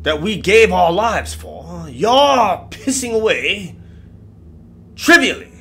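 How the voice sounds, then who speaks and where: A man's voice: sits high, sing-song, takes it slowly, fairly bright tone, slight background noise, pitched above the performer's own voice, heavily acted. A man voicing the indignant ghost of an old-time colonel, speaking in archaic, pompous, scolding phrases with a mock old English accent. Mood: scornful, indignant.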